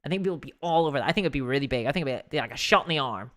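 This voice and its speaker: A livestream host with a hoarse voice, chatting casually and self-deprecatingly about tabletop games and answering viewers. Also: mid-range voice, expressive, very fast, fairly bright tone. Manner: giggly, laughs often while talking.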